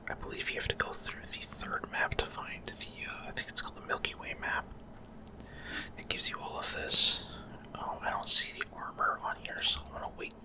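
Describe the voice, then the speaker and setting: Whispered, hesitant ASMR gameplay commentary. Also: mid-range voice. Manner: hesitant, unscripted explaining. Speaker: video game streamer.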